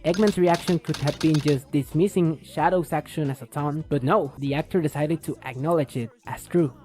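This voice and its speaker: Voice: medium pitch, normal speed, warm tone. A video essay host giving scripted, explanatory narration.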